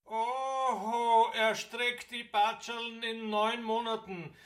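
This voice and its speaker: A man playing a devil's mocking henchman in a radio drama, gleefully taunting in a drawn-out delivery. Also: extremely high pitch, little pitch movement, a bit bright, heavily acted.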